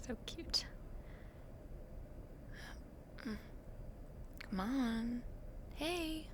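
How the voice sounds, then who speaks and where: A young woman acting an affectionate, teasing university student in an intimate roleplay, talking softly and playfully to the listener late at night. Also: on the high side, animated, very slow, background noise. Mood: tender, coaxing. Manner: soft, playful, teasing, with breathy murmurs.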